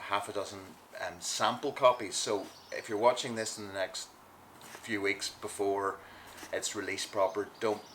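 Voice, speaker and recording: A man hosting a casual chat in a back garden, joking and filling pauses with ums and uhs. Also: on the low side, flat delivery, a bit bright. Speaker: host, music writer. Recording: noisy outdoor recording.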